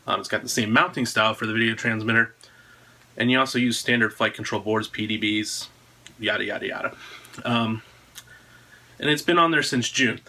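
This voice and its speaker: A man's voice: fairly low pitch, brisk, pauses often, pushed and bright. An American man in his thirties talking casually to camera, presenting a product announcement in an indoor room at home. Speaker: drone frame designer presenting own video.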